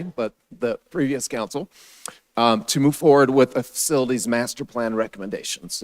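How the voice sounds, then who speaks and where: Male, American, raspy mid-range voice. City staffer giving a formal, halting, explanatory briefing. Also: medium pitch. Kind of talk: formal staff presentation to a council. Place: city council meeting room.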